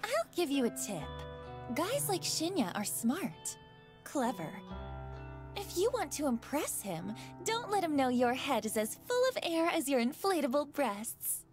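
A cool young office temp played by a woman in a visual novel. Her high voice is curt and sharp-tongued, with varied pitch and music behind it. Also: big pitch swings, measured pace. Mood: scornful. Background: game music.

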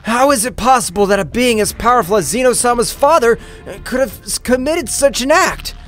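A man's voice: very high-pitched, lively intonation, brisk, a bit bright, soft background music, pitched above the performer's own voice. A man voicing a worried male angel who questions in a formal manner, in an anime fan story.

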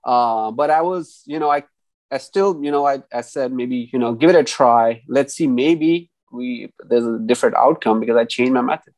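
A man's voice: mid-range voice, moderate pace, a little mellow, no room sound. Man from Pakistan on a dry video call. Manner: hesitant, many restarts and "you know". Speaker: guest engineer. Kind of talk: casual interview over video call. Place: small room indoors. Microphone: earphone headset mic on video call.

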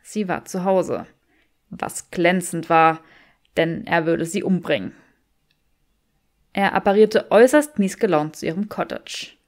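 A woman's voice: fairly low pitch, unhurried, long pauses, darkish tone. A woman reading scripted fiction aloud as an audiobook narrator.

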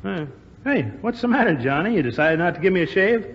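An actor playing a folksy, easygoing older barbershop customer in a 1940s radio drama. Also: male voice, high voice, animated, brisk. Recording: muffled, noisy, reverberant 1940s radio recording.

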